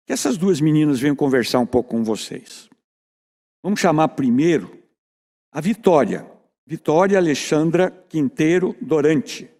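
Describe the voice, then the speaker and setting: A Brazilian man addresses a formal meeting in a conversational, semi-formal way. He often seeks agreement with short tags. His mid-pitched voice rings through a long hall reverb. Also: a little mellow. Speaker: youth apprenticeship programme representative. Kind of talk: semi-formal speech at a council session. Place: large echoing meeting chamber.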